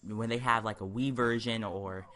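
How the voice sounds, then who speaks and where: Bright-voiced man rambling through game commentary. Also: lowish voice, steady tone, moderate pace. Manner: rambling, hesitant, repeats himself. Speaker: gaming video host. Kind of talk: casual game walkthrough commentary.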